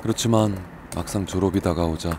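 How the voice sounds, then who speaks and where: A man reading scripted documentary narration in a calm, measured way. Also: deep voice, background noise.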